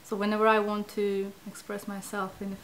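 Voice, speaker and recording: A woman in her thirties from Iran with a Persian accent, a native Farsi speaker, talking reflectively to camera in a small indoor studio and often pausing to search for words. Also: mid-range voice, completely flat delivery, slight background noise, poor audio. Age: 30s. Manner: hesitant, searching for words, many fillers. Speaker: interviewee, language project participant. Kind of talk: reflective interview talk to camera.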